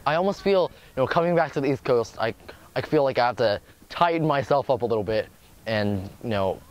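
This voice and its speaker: A teenage boy from California giving a documentary interview, thoughtful and pausing with many ums and "you know". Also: mid-range voice. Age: teens. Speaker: student interviewee.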